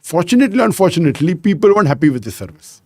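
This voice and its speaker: A man in his sixties telling anecdotes as a podcast guest, measured and sprinkled with "you know". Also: fairly high pitch, very animated, warm tone, dry recording. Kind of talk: conversational storytelling interview.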